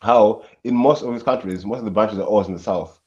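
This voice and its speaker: Low-voiced man on a dull line. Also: fast, dark tone. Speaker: panel caller. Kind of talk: casual panel debate.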